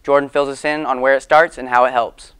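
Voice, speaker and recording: A teenage student anchor introducing news stories from a script. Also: male voice, medium pitch, flat delivery, fairly quick, a bit bright, clear, resonant tone.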